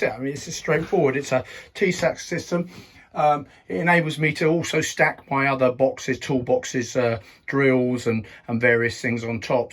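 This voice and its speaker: A man with a croaky, husky voice talks through a tool review in a loose, rambling way. His voice sits a little high for a man, and he laughs at his own jokes often. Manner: chatty, rambling, laughs at himself. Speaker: YouTube channel host. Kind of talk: casual tool review vlog.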